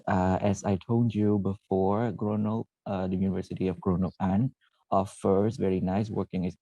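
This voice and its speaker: Man from Vietnam, very low gravelly voice, mellow dark tone, presentation, halting with fillers. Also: no echo, muffled recording. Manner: halting, frequent "uh" and self-corrections. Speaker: former PhD student presenting. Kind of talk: presentation to students.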